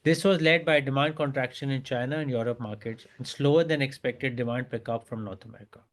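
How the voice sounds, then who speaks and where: A man's voice: mid-range voice, steady tone, a little fast. A man with an Indian accent reads a prepared earnings presentation in a formal, scripted manner as a company executive.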